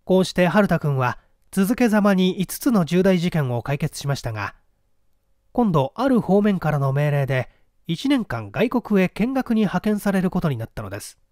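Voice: mid-range voice, very animated, moderate pace, a little mellow, no echo, studio quality. An audiobook narrator reading a story theatrically, addressing listeners in a formal storyteller manner.

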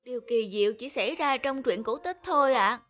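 A woman voicing a gentle, anxious young schoolboy in a cartoon, speaking politely and earnestly. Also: on the high side, a little fast, highs cut off, slight background noise, close to an everyday voice. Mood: fearful. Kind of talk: cartoon dubbing.

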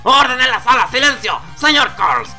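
An irritable old video game judge, played by a woman, scolding with authority. His delivery is forceful and swings in pitch. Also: lively intonation, moderate pace, faint music behind, full character voice. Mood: angry. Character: stern, irritable elderly male courtroom judge.

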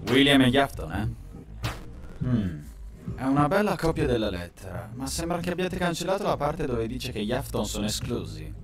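A mocking, dismissive robot gatekeeper played by a man in a cartoon dub. The voice is medium in pitch, with faint music behind. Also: expressive, moderate pace, lightly acted. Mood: suspicious. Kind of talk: amateur cartoon fan dub.

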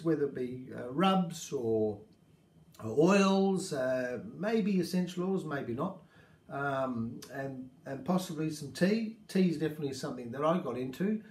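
A man in his fifties with an Australian accent, talking casually to camera about native plants, rambling and gesturing, in a small indoor room. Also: a little high. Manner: warm, rambling, gestures with hands. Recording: echoey room.

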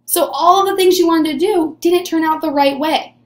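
A woman's voice: very high-pitched, moderate pace, echoey room. A woman in her twenties teaching young children in an encouraging, question-and-answer way, recorded in a small room at desk distance. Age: 20s. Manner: encouraging, asks questions and answers them as if pupils replied. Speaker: early elementary teacher. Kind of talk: animated read-aloud lesson for young children. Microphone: webcam or camera at desk distance.

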